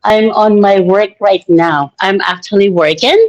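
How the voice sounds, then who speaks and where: A woman's voice: medium pitch, unhurried. A woman from the Philippines with a Filipino accent, casually introducing herself on a livestream. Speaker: livestream participant. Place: vacant room at workplace. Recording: dull lo-fi livestream audio.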